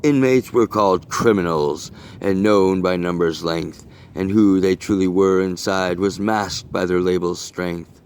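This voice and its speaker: A man reading his own rhyming poem aloud in an earnest, deliberate and rhythmic delivery. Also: low-pitched, a little mellow, slight background noise. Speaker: poet reading own work. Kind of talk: recited rhyming poetry reading.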